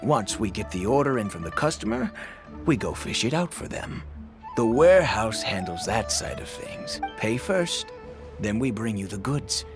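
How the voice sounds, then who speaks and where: An actor playing a cheery, sly fish seller in a video game, with showy hawker's patter and music behind. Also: male voice, lowish voice, big pitch swings, normal speed. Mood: jovial, sly. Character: cheery, sly amateur fishmonger man hawking seafood. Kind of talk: video game character acting.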